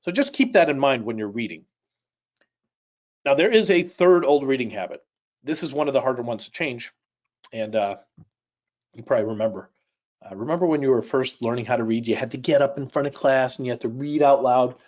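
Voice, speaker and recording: A man's voice: mid-range voice, animated, a little fast, pauses often, a little mellow, lo-fi sound. A middle-aged man from Chicago whose first language is Polish, leading a live online reading class in a conversational way, asking his audience questions and making light jokes. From Chicago, United States. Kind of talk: live webinar instruction. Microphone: personal mic on a webcam live stream.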